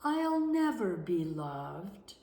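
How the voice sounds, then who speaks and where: A woman's voice: lowish voice, sing-song, drawn-out words, dark tone, exaggerated voice. A woman voicing a lovesick, forlorn female storybook bird with a plaintive, pleading sing-song manner. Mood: sad, disappointed. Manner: warbling, crooning, pleading sing-song calls. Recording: roomy, echoing room sound.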